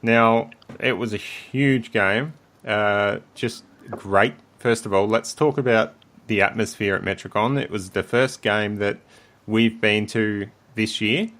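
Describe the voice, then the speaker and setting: A man with a croaky voice, hosting a casual sports podcast in a relaxed way with frequent ums. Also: lowish voice, slow pace. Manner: relaxed, leads the segments, many ums. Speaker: podcast host.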